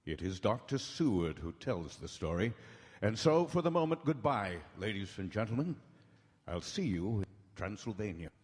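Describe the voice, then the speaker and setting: A man's voice: fairly low pitch, very animated, normal speed, darkish tone. A man playing an urbane young theater director hosting a 1930s radio show, formal and theatrical. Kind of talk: 1930s radio host address. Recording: echoey town hall stage.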